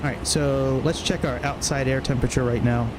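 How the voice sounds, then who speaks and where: A man's voice: mid-range voice, fairly even intonation, fairly quick. A man streaming a flight simulator, talking casually and half to himself, with some swearing. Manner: muttering to himself, profane. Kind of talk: casual livestream commentary while flying a simulator. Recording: clear background noise, vehicle-like hum.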